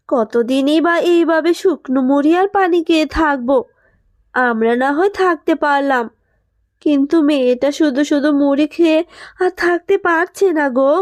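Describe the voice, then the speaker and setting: Woman voicing a high, sorrowful mother fox. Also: a little mellow, no echo, clean studio sound. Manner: lamenting, plaintive. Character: poor, sorrowful mother fox, resigned and worried. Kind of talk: Bengali children's cartoon dubbing.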